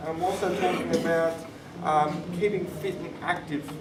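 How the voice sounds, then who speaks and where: Male, twenties, high voice, explanatory panel speaker; recorded far from the mic in an echoey room. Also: fairly even intonation, moderate pace. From born in Thailand, moved to Australia. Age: 20s. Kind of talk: personal talk on a live panel podcast. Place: conference room, live event. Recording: roomy, distant mic, clear background noise.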